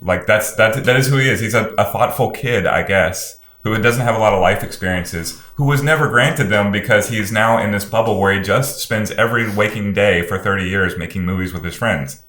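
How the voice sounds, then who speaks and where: A man with a very low voice talks casually about films on a podcast panel. He sounds halting and reflective, and the room around him echoes. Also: a bit bright. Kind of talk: casual film discussion. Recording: roomy, echoing room sound.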